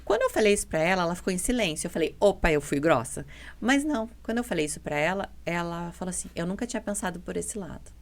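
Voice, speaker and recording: Blunt woman with a low, creaky voice. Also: lively intonation, fast talker. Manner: blunt, emphatic, repeats herself, quotes dialogue. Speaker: podcast guest, dental mentoring business partner. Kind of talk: casual podcast conversation, animated storytelling.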